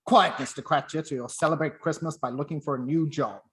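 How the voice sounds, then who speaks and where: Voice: mid-range voice, steady tone, fairly quick, fairly bright tone, close to an everyday voice. A performer playing a miserly, bitter old businessman in a radio play, curt and scornful. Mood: stern, scornful.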